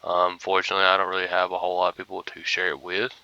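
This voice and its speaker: A man's voice: low voice, completely flat delivery, rapid-fire, sharp tone, clean tone, no room sound, lo-fi sound. A young man casually commentating a hunting game, groggy and rambling as if he just woke up. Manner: laid-back, rambling, mumbly asides. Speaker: gaming streamer.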